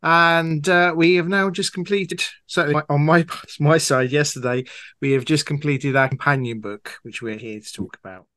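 A man's voice: fairly high pitch, fairly even intonation, normal speed, pushed and bright, no echo, clean studio sound. A man with a British accent talking casually as an interview guest, an audio drama producer. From Britain.

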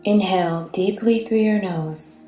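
A woman guiding a meditation in a soothing, gentle, deliberate way with long pauses, her phrases trailing into breathiness. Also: medium pitch. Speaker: meditation guide. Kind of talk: guided meditation narration. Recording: reverberant, distant-sounding voice over soft music.

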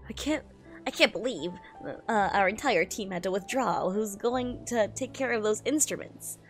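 A woman streamer reading a manga aloud in an expressive, giggly way, with excited reactions in between. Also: medium pitch, moderate pace. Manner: expressive, giggly, switches into character voices. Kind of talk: live reaction read-aloud with excited asides. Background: music behind the voice.

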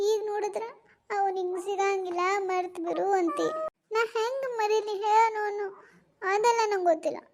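A woman's voice: very high voice, a little mellow. A woman as a drunk, lovesick young daughter. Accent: North Karnataka Kannada. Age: young adult. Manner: whiny, pleading, stubborn. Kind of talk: family drama skit.

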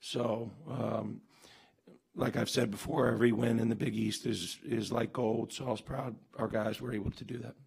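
Gravelly raspy coach guy at a postgame presser, table mic, keeps restarting his sentences. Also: mid-range voice, fairly even intonation, moderate pace, darkish tone. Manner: earnest, emphatic, many restarts and fillers. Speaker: head basketball coach. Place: press room with sponsor backdrop, seated at table. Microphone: table mic on stand.

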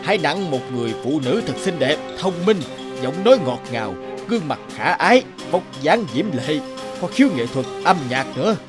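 A man's voice: a little high, very animated, moderate pace, music in the background. A man voicing a stern, scheming ruler of the gods in a fairy-tale cartoon, with an imperious, declamatory delivery. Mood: commanding. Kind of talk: animated fairy-tale dubbing.

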